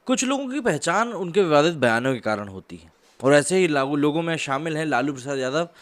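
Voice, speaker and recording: Higher-pitched man doing news commentary. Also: animated, brisk, no echo. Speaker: host. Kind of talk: news commentary, reading out social media posts.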